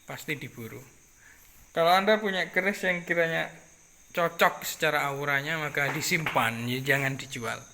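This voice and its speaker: A man with a Madurese accent and a clearly nasal voice, talking in an easy, unhurried way to his viewers. His tone is bright and forceful, set a little above the usual male range. He repeats phrases and drops in soft filler sounds as he goes. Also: fairly high pitch. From Jember, East Java, Indonesia. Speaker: keris collector. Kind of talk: casual vlog talk to viewers.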